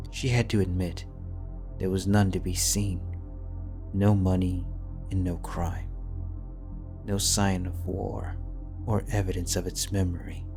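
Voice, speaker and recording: A man narrating a fantasy fanfiction audiobook in a measured, solemn manner. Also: deep voice. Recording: soft background music.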